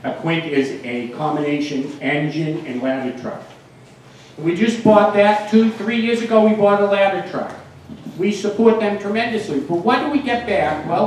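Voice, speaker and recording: A man in his sixties with a Boston accent gives an informal, emphatic public speech in a plain-spoken way. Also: high-pitched. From United States. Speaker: guest speaker, fire protection engineer and town mayor. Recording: very echoey, distant microphone, faint noise.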